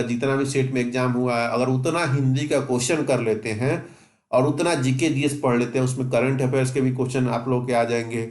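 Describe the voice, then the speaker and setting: A man's voice: medium pitch, a little mellow. A man teaching exam preparation informally to students, repeating and stressing his points in a reassuring way. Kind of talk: informal exam-prep lesson, explaining to students. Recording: echoey, reverberant room.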